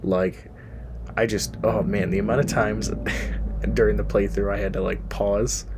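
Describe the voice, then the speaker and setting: A man's voice: fairly low pitch, little pitch movement, a little slow, noisy background. A young man with a cold, tired and sniffly, casually chatting through a list of his favorite horror games as host of his channel. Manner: rambling, self-deprecating, frequent fillers. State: sick and sniffly. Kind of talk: casual gaming list commentary.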